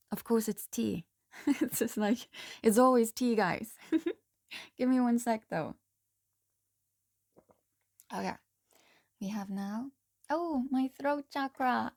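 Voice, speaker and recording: A woman with a hoarse voice doing a casual tarot reading, talking slowly and haltingly with frequent pauses and restarts. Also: fairly high pitch, no room sound, clean studio sound. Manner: slow, halting, soft-spoken with frequent ums and restarts. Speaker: tarot reader.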